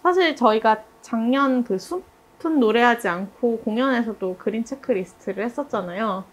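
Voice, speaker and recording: A woman leading a casual group discussion, chatty and telling anecdotes in an animated way. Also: fairly high pitch, normal speed. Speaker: interviewer and project member leading the discussion.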